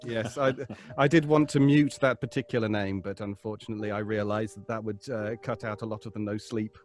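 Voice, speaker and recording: A man's voice: lowish voice, steady tone, fairly quick, soft background music. An English man chatting casually on a live stream as a cast member, wry and affable as he explains things.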